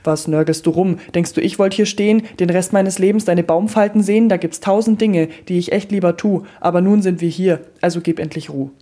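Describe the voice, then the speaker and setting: A woman's voice: lowish voice, fairly even intonation, fairly quick, few pauses, warm tone, lightly acted. A woman voicing a cheeky, blunt male mulberry tree who talks back sarcastically. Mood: irritated. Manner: snappy, sarcastic.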